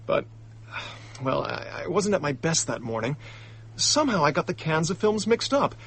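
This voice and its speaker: A young male diplomat in a radio drama, indignant and hot-headed. His voice sits fairly high with a lively swing and a touch of husk. He talks in excitable slang. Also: moderate pace, slightly rough, heavily acted. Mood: agitated recounting.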